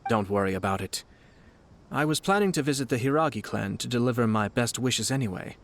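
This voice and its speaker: A man playing a composed, shrewd young noble commissioner in a video game, speaking in a formal, polite, measured way. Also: mid-range voice, animated, lightly acted. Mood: calm, thoughtful.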